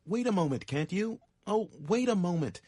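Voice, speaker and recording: A man voicing an anxious man who pleads for calm in a dramatic story reading. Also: high-pitched, moderate pace. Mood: pleading.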